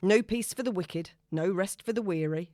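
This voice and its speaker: A woman voicing a smooth, breezy, noncommittal man on a date, with a casual, glib manner, in an audiobook. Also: mid-range voice, normal speed, dark tone, no echo, clean studio sound, natural voice. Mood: breezy, evasive. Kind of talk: audiobook character dialogue.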